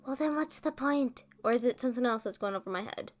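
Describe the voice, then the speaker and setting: A woman playing a rambling, self-deprecating roommate in a casual ASMR roleplay, talking in a halting, defensive, conversational way. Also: on the high side, soft background music, close to an everyday voice. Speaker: audio roleplay performer and script writer.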